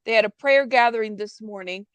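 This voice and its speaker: High-voiced woman commenting casually on a livestream. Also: clean tone, dry recording. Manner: informal, halting, emphatic repetition. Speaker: host of a live-streamed news commentary. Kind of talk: casual live commentary, chatting to viewers.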